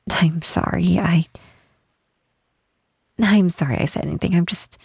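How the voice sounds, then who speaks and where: Woman playing a slow, husky, shy half-troll cleric. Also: lowish voice, no echo, studio quality, heavily acted. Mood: hurt, apologetic. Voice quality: soft, husky, breathy. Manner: hesitant, halting, apologetic, with sighs. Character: tall half-troll woman cleric, shy, guilt-ridden former lover. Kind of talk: ASMR roleplay, intimate scripted monologue.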